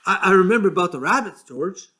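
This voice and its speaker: A man voicing a big, slow-witted, gentle man who stammers eagerly and pleads softly. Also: sits high, very animated, voice pushed up, full character voice.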